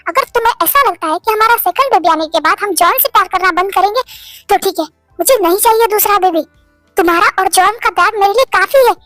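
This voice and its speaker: A man's voice: very high-pitched, sharp tone, dry recording. A narrator voicing an earnest, lovestruck male boss who makes heartfelt pleading declarations. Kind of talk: storybook drama reading.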